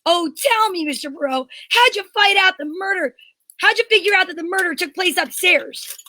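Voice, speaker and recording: A woman's voice: extremely high pitch, expressive, moderate pace, sharp tone, exaggerated voice. A woman playing a defensive, indignant female murder suspect, flustered and exclamatory, in old-time radio mystery style. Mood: sardonic, curious.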